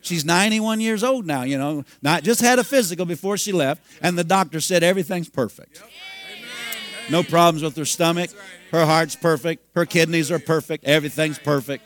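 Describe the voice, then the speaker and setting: A man in his fifties preaching a sermon, telling a healing story and breaking into loud hallelujahs. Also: fairly high pitch, normal speed. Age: 50s. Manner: storytelling, bursts into shouting and hallelujahs. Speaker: pastor.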